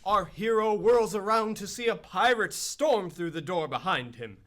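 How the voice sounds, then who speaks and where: Radio play narrator, male actor: slightly high register, theatrical and ominous storytelling of heroic adventure. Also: expressive, moderate pace, fairly bright tone. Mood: dramatic. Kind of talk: scripted radio drama narration.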